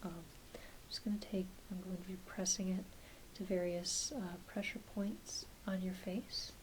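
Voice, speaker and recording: A woman playing a gentle, reassuring doctor in an ASMR exam roleplay, speaking softly and hesitantly, often half-whispering. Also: fairly low pitch, moderate pace, natural voice, poor audio. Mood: calm, reassuring. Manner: soft, half-whispered, hesitant, apologetic bedside talk. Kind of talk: soft-spoken ASMR medical exam roleplay. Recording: faint steady hiss behind close voice.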